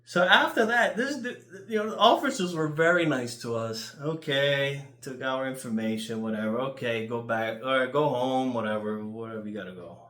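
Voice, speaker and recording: A man with a New York accent telling casual, rambling stories in an animated, crude way, swearing freely. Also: mid-range voice, normal speed, few pauses, reverberant. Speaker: YouTuber.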